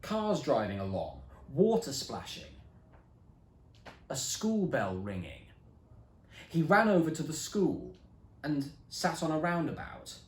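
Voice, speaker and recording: A young man reads a children's story aloud, dramatic and expressive. His delivery is slow and unhurried, with a voice that rises and falls a great deal. The recording echoes noticeably around the room. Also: on the high side. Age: young adult. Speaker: reader. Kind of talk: storybook reading.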